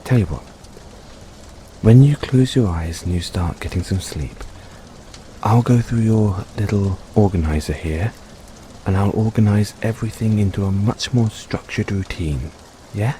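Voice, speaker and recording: A man playing a caring, gently teasing boyfriend in a soft-spoken, playful comfort roleplay for an overworked partner. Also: fairly low pitch, measured pace, a little hiss or hum, natural voice. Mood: reassuring. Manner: soft-spoken, playful, coaxing, chuckles often.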